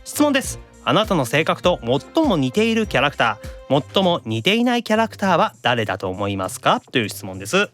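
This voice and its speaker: A man's voice: fairly high pitch, huge pitch swings, brisk, few pauses, fairly bright tone, faint music behind. A man hosting a radio show in Kansai-accented Japanese, moving between scripted reading and casual chat, with eager, agreeing responses. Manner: frequent eager backchannels and agreeing.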